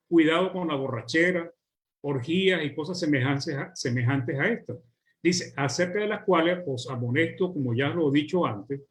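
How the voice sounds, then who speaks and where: Venezuelan preacher, measured and fairly high. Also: male voice. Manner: measured, list-like preaching with drawn-out words. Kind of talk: sermon.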